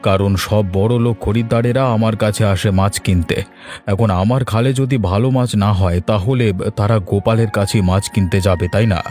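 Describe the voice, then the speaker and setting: Cartoon villain male actor: calculating, envious wealthy merchant, somewhat low voice, faint music underneath. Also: steady tone, fairly quick, darkish tone, natural voice. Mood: worried envy. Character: envious, scheming rich fish merchant villain. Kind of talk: Bengali cartoon story acting.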